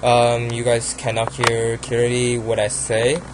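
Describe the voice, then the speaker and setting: A man's voice: mid-range voice, monotone, takes it slowly, noisy background. A young man with a Chinese accent, talking casually and hesitantly about his card game deck, with many ums and restarts. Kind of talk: casual deck profile monologue.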